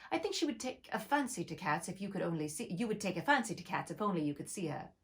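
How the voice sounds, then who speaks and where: Curious, well-mannered young girl, earnest and chatty, lively up-and-down delivery, read by a woman. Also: fairly low pitch, fast. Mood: apologetic.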